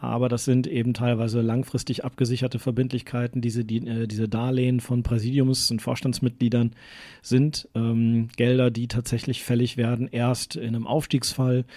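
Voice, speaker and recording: Guy from westphalia, podcast cohost, warm tone, dry close sound, lots of uhs and "ne?". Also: mid-range voice, level tone, moderate pace, no echo. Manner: rambling, hesitant, frequent tag questions. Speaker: podcast co-host. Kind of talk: casual chat.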